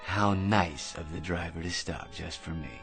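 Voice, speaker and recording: An actor playing an excitable, self-important eccentric in a radio drama, rambling and stammering through grand schemes with theatrical delivery. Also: male voice, deep voice, moderate pace, music in the background. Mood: cheerfully bossy.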